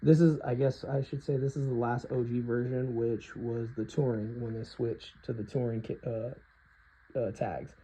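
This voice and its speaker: A hoarse man talking informally and with strong opinions in an unscripted collector's review, often clearing his throat. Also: mid-range voice, steady tone, measured pace, warm tone, a little hiss or hum, rough recording. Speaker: hoodie collector reviewing products. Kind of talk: casual unscripted compare-and-contrast review.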